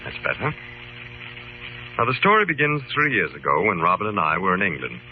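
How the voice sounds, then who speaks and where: An actor playing a calm, authoritative club leader, formal and measured, in old-time radio drama style. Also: male voice, low voice. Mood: storytelling. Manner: formal, measured, clearly enunciated. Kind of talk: 1940s-50s radio drama acting and narration. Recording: muffled old radio broadcast with hiss.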